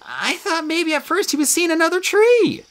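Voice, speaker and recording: A woman voicing a high-strung young female tree nymph with a squeaky, whiny voice. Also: sing-song, unhurried, pushed and bright, exaggerated voice. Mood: tearful.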